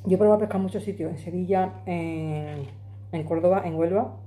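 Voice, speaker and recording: A woman's voice: lowish voice, fairly quick, mellow, rough recording. A woman in her forties vlogging from her home kitchen, chatting to a phone camera while she eats. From Madrid, Spain. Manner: chatty, emphatic, talks with her hands. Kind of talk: casual chat to camera while eating. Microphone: phone camera on the table.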